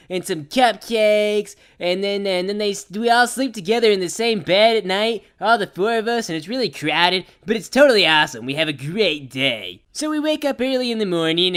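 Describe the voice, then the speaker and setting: A woman's voice: on the low side, fairly bright tone, clean tone. A woman voicing a brash, easygoing young cartoon pegasus pony who tells a story in a casual, rambling slacker way. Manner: casual slacker rambling, full of "like" and "you know". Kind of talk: improvised-sounding comic cartoon storytelling.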